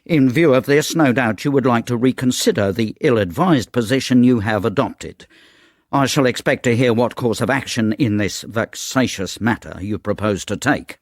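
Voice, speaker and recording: A man's voice: mid-range voice, moderate pace, no echo, clean studio sound, close to an everyday voice. A man acting an officious, condescending bureaucrat in a radio drama, reading out a letter in a pedantic, formal manner. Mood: officious, disapproving. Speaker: actor.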